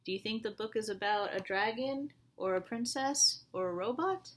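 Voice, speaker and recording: A woman reading a picture book aloud to young children in an animated way, often asking them questions. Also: mid-range voice, moderate pace, background noise.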